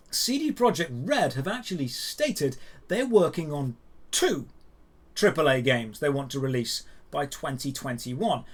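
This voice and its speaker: A man with a slightly high voice presents scripted gaming news straight to his viewers. He hosts with emphatic phrasing and a wry edge. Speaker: host of a gaming news show.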